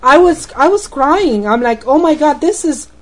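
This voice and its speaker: A woman's voice: sits high, lively intonation, normal speed. A woman with a Romanian accent, complaining indignantly on a phone call, rambling and repeating words as she goes.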